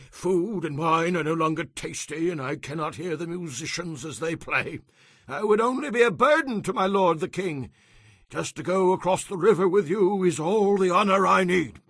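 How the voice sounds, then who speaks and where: A man's voice: sits high, pushed and bright, no echo, clean studio sound, full character voice. An actor playing a very old, humble man in a dramatized scripture reading, emphatic and plaintive. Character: very old wealthy man, weary, humbly declining.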